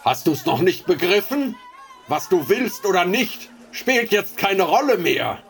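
Cruel ship captain, gravelly rough voice, lively swooping pitch, slow and drawn-out, radio play. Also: male voice, full character voice. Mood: mocking, harsh. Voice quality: gravelly, sneering. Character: cruel slave-trading ship captain, middle-aged man. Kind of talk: audio drama acting.

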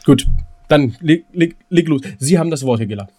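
A man's voice: on the high side, expressive, fairly quick, warm tone, husky, faint music behind. A man bantering casually as a podcast co-host, often repeating his words and phrases as he goes.

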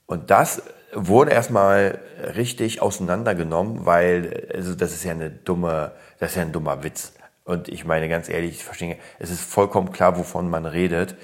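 A man's voice: low voice, fairly even intonation, moderate pace. A man from Berlin, born in Poland, hosting a podcast and chatting casually with lots of restarts and fillers. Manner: rambling, frequent restarts and fillers, blunt. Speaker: podcast host. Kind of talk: casual opinion chat.

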